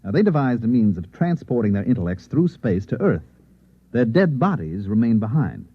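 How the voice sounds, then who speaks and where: A man's voice: mid-range voice, sing-song, moderate pace, slightly rough. An actor playing an insistent, earnest newspaperman in an old-time radio drama, with urgent, clipped delivery. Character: insistent, earnest newspaperman narrating his strange night. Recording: muffled, narrow-band old radio recording.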